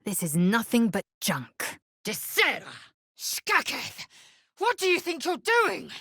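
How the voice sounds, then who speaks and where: A woman voicing a proud, fierce, imperious githyanki warrior in a video game, with a husky, raspy voice and a clipped, haughty, formal manner. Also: fairly high pitch, wildly swooping pitch, takes it slowly, bright, forceful tone, no room sound, clean studio sound, full character voice. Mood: commanding, stern, then fierce, battle cry. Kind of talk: game voice acting, short scripted lines.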